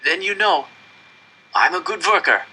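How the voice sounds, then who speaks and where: Man playing a high-pitched, desperate starving boy. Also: big pitch swings, pushed and bright, exaggerated voice, low-quality recording. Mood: nervous pleading. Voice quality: croaky, cracking. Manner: halting, pleading. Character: starving, desperate prisoner boy pleading for bread. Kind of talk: storybook read-aloud dialogue.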